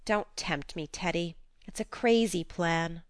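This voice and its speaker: Lady reading as a sensible tomboy teen girl, frank and coaxing, clean clear voice, audiobook. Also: fairly low pitch, measured pace, dry recording, close to an everyday voice. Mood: wistful.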